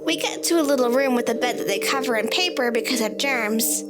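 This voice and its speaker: A woman's voice: on the high side, fairly quick. A woman reading a first-person story in the voice of a child, with a childlike, matter-of-fact manner. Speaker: story narrator. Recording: loud music bed under the voice. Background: music.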